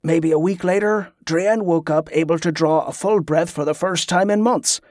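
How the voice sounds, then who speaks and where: A plain-spoken man in a fantasy audiobook, voiced by the narrator. He reminisces in a measured, reflective manner at a middle pitch. The sound is close and dry. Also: a little mellow, no echo, lightly acted. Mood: wistful.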